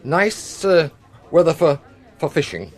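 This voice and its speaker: A man's voice: on the high side, big pitch swings, unhurried. A man acting a suave, unruffled senior police commissioner in a radio drama, urbane and drily apologetic. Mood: unruffled, sly. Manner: urbane, mock-apologetic, elliptical.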